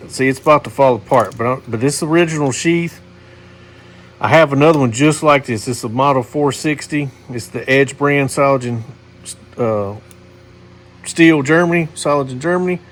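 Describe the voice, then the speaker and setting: A man's voice: medium pitch, normal speed. Man, mid-range voice, folksy and rambling, casual knife-channel host talking to viewers. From Missouri, USA. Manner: rambling, folksy, many fillers and restarts.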